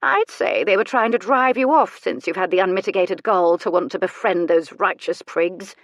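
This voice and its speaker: A woman's voice: on the low side, moderate pace, no echo, close to an everyday voice. Lady reader doing a snooty old noble lady from a novel, wicked dry teasing, very theatrical, super dry sound. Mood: mischievous, scornful. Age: older. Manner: haughty, theatrical, dryly teasing. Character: elderly bedridden aristocratic lady, sharp-tongued and mischievous.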